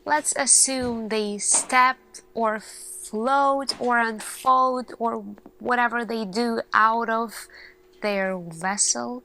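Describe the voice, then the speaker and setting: A woman acts out an earnest lead scientist in a sci-fi ASMR roleplay. She has a fairly high female voice and speaks very slowly and softly. Her delivery is deliberate and thoughtful, pausing around each question she puts to her team. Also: fairly even intonation, slight background noise, heavily acted, rough recording. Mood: pensive, stressed.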